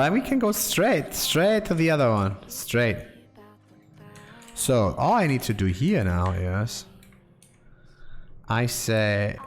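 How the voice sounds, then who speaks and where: Slow, halting man reading code aloud. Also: medium pitch. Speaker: programmer streaming live coding. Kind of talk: thinking aloud while coding.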